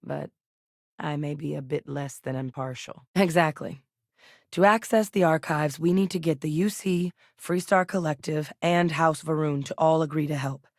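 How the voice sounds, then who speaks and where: An actress voicing a guarded, resolute military woman in a video game, measured and matter-of-fact, with dry determination. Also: deep voice, fairly even intonation, darkish tone, no echo. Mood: measured, serious. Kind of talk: naturalistic video game dialogue.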